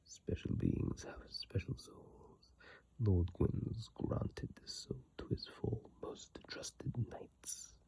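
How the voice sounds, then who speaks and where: An ASMR creator whispering in a breathy, halting, informal way, commenting on games close to a phone mic. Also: mid-range voice, wildly swooping pitch. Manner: slow, hushed, halting and informal. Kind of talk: whispered ASMR reading and rambling game commentary. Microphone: iPhone mic, held close.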